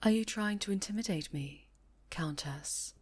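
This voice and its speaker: A woman voicing a stern, cautious high enchantress and mentor, speaking gravely and formally in a fantasy roleplay. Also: a little slow, a little mellow. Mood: wary. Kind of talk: fantasy ASMR roleplay acting.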